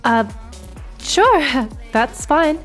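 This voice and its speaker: A guarded spacefaring mother with a fairly high voice. She speaks very slowly, politely and hesitantly, as in a sci-fi audio drama with faint music behind. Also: big pitch swings, fairly bright tone, heavily acted. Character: Nay, guarded grieving mother on a space mission.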